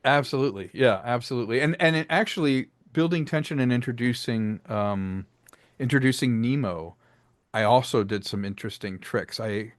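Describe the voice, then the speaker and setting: A man's voice: mid-range voice, expressive, moderate pace, no echo, studio quality. A man being interviewed, explaining his creative work in a casual, storytelling way. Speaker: interview guest, filmmaker and audio drama creator.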